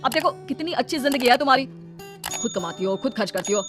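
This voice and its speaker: Cajoling adult son for a cartoon family story, played by a woman, highish, breezy and self-serving. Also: animated, brisk, music in the background. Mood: glib. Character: glib, sponging adult son.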